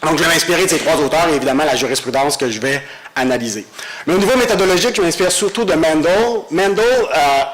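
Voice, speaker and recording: A man lectures on law at a university colloquium. He has a cold and explains his points in a steady, measured way. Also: on the high side. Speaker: guest lecturer, law professor. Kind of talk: academic lecture.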